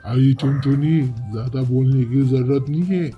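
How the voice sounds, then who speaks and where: A man's voice: medium pitch, a little slow, dull audio, faint music behind, close to an everyday voice. A man voicing a rude, quarrelsome young male crow in a kids' cartoon, surly and threatening, recorded dully. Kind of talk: Hindi kids' cartoon character voice.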